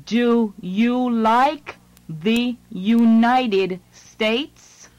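A woman reads English lines for a language course, very slowly and carefully. Each phrase is set apart for learners to follow. The recording is dry and close, with no room sound. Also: a little high, a little mellow, no echo, muffled recording. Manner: slow, deliberate, phrase by phrase. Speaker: language lesson narrator. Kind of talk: scripted lesson reading.

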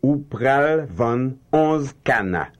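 A man reading slow, carefully enunciated translations in a language drill. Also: mid-range voice, animated. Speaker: language teacher.

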